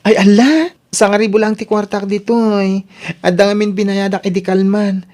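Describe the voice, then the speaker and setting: A woman's voice: mid-range voice, steady tone, moderate pace, a little mellow, lightly acted. A woman with an Ilocano accent acting a brash, casual friend in a radio drama. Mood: exasperated. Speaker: drama voice actor.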